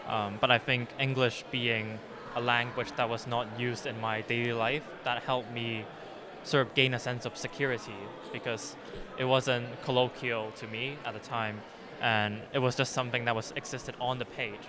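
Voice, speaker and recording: A young man from Hong Kong, Cantonese his first language, talks through his answers slowly and reflectively, with frequent ums and restarts. His voice sits at an ordinary middle pitch. Lively crowd chatter is heard behind him outdoors. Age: young adult. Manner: thoughtful, hesitant, many fillers and restarts. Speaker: poet, interview guest. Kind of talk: casual interview answers.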